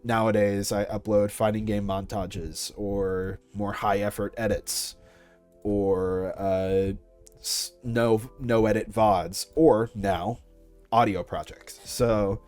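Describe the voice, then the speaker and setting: A man's voice: fairly low pitch, fairly even intonation, slow pace, darkish tone, clear, resonant tone. A young man answering questions about his creative work in a casual interview, as a content creator. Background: music.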